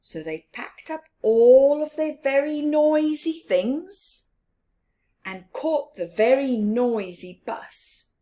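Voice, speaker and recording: A woman reading a picture book aloud to children with expressive, drawn-out emphasis and asides about the story. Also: high voice. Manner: animated, drawn-out emphasis with whispered words.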